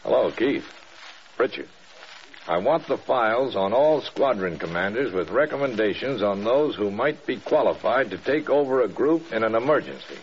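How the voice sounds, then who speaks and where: An American man playing an authoritative, shrewd senior general in a mid-century radio drama, measured and emphatic. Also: lowish voice, lively intonation, lightly acted. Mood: discreet, brisk. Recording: muffled vintage radio with faint noise.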